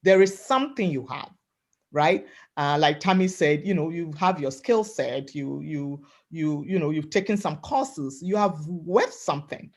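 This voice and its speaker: Emphatic loud-voiced woman from Africa. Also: sits low, animated, unhurried. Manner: loud voice, emphatic, repeats "right?" and "you know". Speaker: webinar panelist. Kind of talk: motivational talk.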